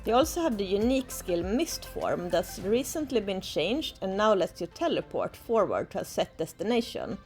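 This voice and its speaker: A woman's voice: medium pitch, very animated, moderate pace, hardly any pauses, a little mellow, soft background music. A young woman with a Swedish accent, chatting enthusiastically in a casual game guide recorded on an outdoor balcony. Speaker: gaming YouTuber. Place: outdoor balcony, tropical resort.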